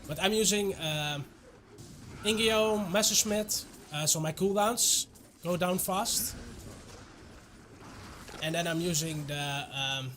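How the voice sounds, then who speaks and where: A Dutch man, a native Dutch speaker, chatting casually in English with his chat while streaming a game, relaxed and often chuckling. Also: high voice, slow pace, pauses often, slight background noise. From Netherlands.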